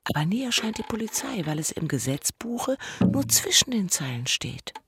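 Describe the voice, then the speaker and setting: An actress playing a worldly, bitter streetwalker in a radio play, speaking in a knowing, matter-of-fact, sardonic way. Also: female voice, mid-range voice, very animated, moderate pace, noisy background, natural voice. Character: worldly, bitter streetwalker taking in a younger girl. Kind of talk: German radio play acting.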